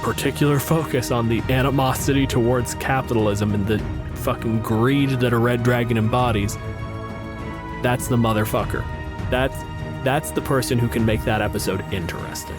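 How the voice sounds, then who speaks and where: A man's voice: medium pitch, fairly even intonation, normal speed, over music. A man chatting casually and frankly as a podcast co-host and game master, swearing freely.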